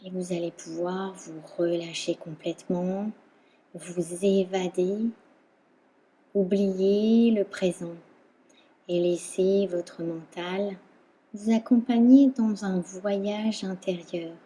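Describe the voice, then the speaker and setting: A woman instructor guiding a relaxation meditation in a calm, soothing manner, leaving long pauses between phrases. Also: lowish voice, slightly rough. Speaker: yoga nidra instructor.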